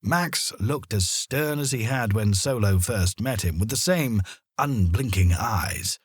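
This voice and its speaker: A man narrating a children's story in a dramatic, expressive manner. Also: lowish voice, slow pace, breathy edge, no echo, clean studio sound.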